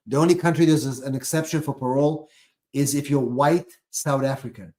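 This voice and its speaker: A man's voice: medium pitch, warm tone. A man speaking off the cuff as an attorney hosting a live question-and-answer stream, emphatic and prone to repeating key phrases.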